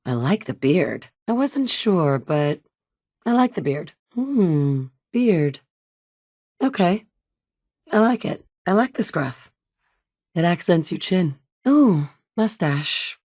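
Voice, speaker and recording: Video game survivor mom, determined, kinda low voice, talks natural n dry, super clean studio sound no echo. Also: female voice, very animated, slow, a little mellow, full character voice. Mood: warm, teasing. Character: determined young mother, wasteland survivor searching for her son. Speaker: video game player character.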